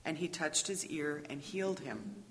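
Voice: mid-range voice, animated, moderate pace, fairly bright tone. A narrator reading scripture as a dramatic narration. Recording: echoey church hall, long reverb.

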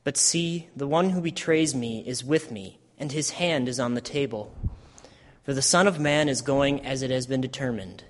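A man playing a solemn religious teacher in a dramatic scripture reading, speaking in a measured, declamatory way with long hall reverb. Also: medium pitch, lightly acted. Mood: grave, admonishing. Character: Jesus, solemn adult male teacher at his last supper.

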